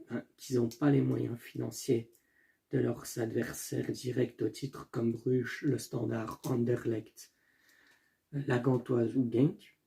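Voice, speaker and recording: A man's voice: mid-range voice, creaky, dark tone. A young man hosting a casual solo football video, thinking aloud and often stopping to correct himself. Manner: talks as he thinks, with restarts and self-corrections; stumbles over names and apologizes.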